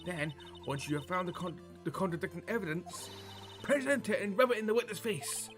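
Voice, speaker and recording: A man doing a live let's play of a visual novel, reading dialogue aloud with casual, profane asides and excitable outbursts. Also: high-pitched, fairly quick. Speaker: game streamer. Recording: game music behind the voice. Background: video game music.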